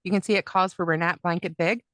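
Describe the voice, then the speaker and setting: Woman crochet instructor, friendly step-by-step demo, lowish voice, dry close sound. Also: steady tone, quick pace, no room sound. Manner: friendly, step-by-step, talks while demonstrating by hand. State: losing her voice, coughing. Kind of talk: live online craft class demonstration.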